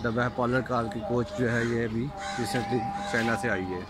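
A man's voice: medium pitch, fairly even intonation, a little fast. A man in his thirties narrating a train-journey vlog on his phone, addressing viewers politely as he walks through the coach and station. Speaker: vlogger. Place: on a train and station platform, outdoors. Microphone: handheld phone. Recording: loud train and street noise.

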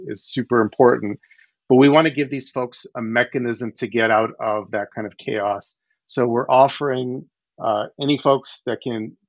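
A man in his forties, born in Ukraine, talking as a startup founder on a video call from his home, explaining with lively gestures and frequent restarts. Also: medium pitch, dry recording. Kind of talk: casual video-call interview. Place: home living room. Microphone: headset on video call. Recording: dull, dry headset audio.

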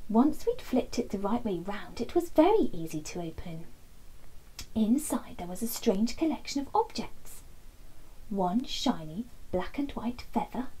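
Woman in her thirties, children's story narration, lively rising and falling pitch, soft mellow tone, expressive. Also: slightly rough, rough recording. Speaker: storybook reader. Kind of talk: children's bedtime story reading. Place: small indoor nook lined with soft toys.

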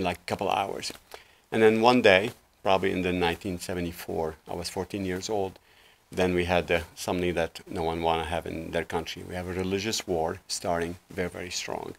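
A man in his sixties from Lebanon speaks in a very low voice with a gravelly edge. He tells his story earnestly and loosely, leaning on fillers, as a guest on a small TV studio set. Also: slight background noise. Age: 60s. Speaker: guest life coach. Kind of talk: casual interview talk.

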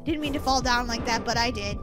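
A woman with an excitable, exaggerated kid-show delivery, doing playful role-play commentary as a gaming host. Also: a little high, quick pace. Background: music behind the voice.

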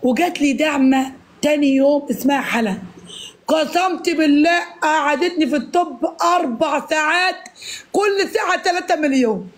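A woman with an Egyptian Arabic accent, hoarse, trading sassy retorts as a guest on a live stream. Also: high-pitched, moderate pace. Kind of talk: bickering live-stream chat.

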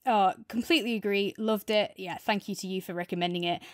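A woman's voice: mid-range voice, fairly even intonation, fast, dry recording, studio quality. A woman co-hosting a podcast, chatting casually in an enthusiastic, rambling way about things she likes. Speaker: podcast co-host. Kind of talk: casual review chat.

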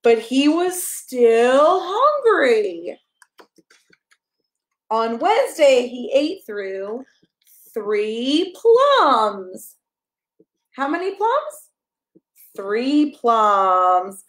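A woman in her thirties reading a picture book aloud to a toddler, expressive and encouraging, coaxing the child along with questions. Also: high voice, extremely slow, pauses often, echoey room. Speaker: pastor reading a children's story. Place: small carpeted room at home, indoors. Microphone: camera a step or two away.

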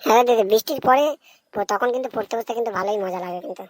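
A woman's voice: fairly high pitch, very fast, close to an everyday voice. A woman voicing a carefree young schoolboy in an amateur cartoon, with a casual, repetitive way of talking. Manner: casual, repetitive, brushing off advice. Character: carefree procrastinating young schoolboy, little brother.

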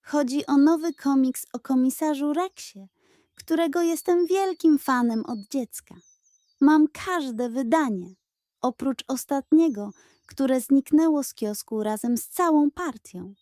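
A woman voicing an earnest, excitable young male pig detective in a children's story. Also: high-pitched, unhurried, no room sound, studio quality, pitched above the performer's own voice. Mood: earnest, worried. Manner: hesitant sighs turning to eager exclamations.

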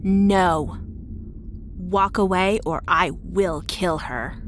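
Cold, terse female enforcer for audio drama, woman actor, unhurried threats, faint background noise. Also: fairly low pitch. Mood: threatening. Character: cold, deadly henchwoman, obedient but threatening. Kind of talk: audio horror drama acting.

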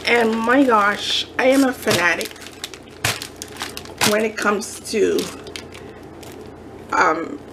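A woman's voice: medium pitch, slow pace, gravelly, long pauses, background noise. A woman chatting casually as she shows off a craft shopping haul, gushing with many fillers, recorded at a kitchen counter. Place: home kitchen counter, indoors.

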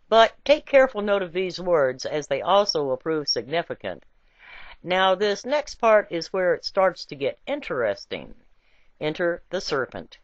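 A woman reading a scripted essay with quotations in a deliberate, emphatic and wry storytelling way. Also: on the low side, no room sound, highs cut off. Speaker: reader.

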